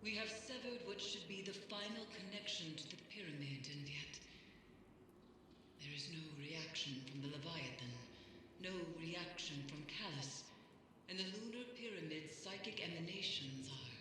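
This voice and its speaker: A woman voicing a grave, foreboding mystic counsellor in a video game, with solemn, measured, formal speech. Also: on the low side, lightly acted. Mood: uneasy, grave. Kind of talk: scripted video game dramatic acting. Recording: very echoey hall with background noise. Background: ambient noise.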